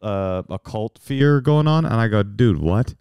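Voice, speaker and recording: Podcast co-host, male, playful storyteller, lively pitch, dry studio sound. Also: measured pace, smooth, no echo, muffled recording. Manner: jokey, animated, acts out quoted voices. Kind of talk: casual banter.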